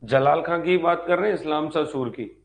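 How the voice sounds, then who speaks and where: A man's voice: on the high side, a little fast, creaky. A man teaching an exam-prep history lecture, explaining step by step, repeating phrases as if dictating and asking check questions.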